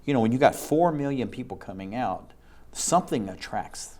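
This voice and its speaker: A man's voice: mid-range voice, lively intonation, moderate pace, a little mellow. A man hosting an interview show, asking long rambling and enthusiastic questions. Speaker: talk show host.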